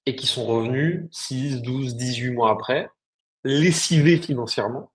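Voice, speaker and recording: A man's voice: mid-range voice, unhurried. A man co-hosting a business podcast, explaining his points bluntly in casual, slangy chat. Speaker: podcast co-host, agency founder.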